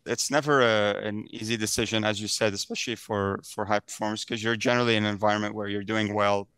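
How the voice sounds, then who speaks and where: A man speaks as an interview guest in a low, smooth voice. He explains his points conversationally, and the sound is dry with no room echo. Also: fairly even intonation, fast talker, a bit bright. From Middle East. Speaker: podcast guest, software engineering director.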